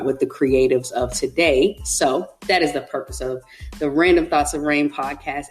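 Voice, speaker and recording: A woman host with a notably deep voice leads a podcast interview. She sounds upbeat and encouraging and keeps it casual with quick affirmations. Soft music plays faintly underneath.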